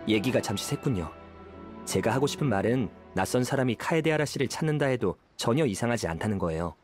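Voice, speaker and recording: Casting: male actor as a friendly young detective's clerk, lively swooping intonation, eager and polite, anime game with background music. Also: medium pitch, fast, natural voice. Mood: explanatory, polite. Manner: polite, animated, chatty. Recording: music behind the voice.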